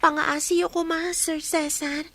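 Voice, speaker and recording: A woman playing a high-voiced pleading student. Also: little pitch movement, takes it slowly, fairly bright tone, heavily acted. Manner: pleading, tearful. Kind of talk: Ilocano radio drama acting.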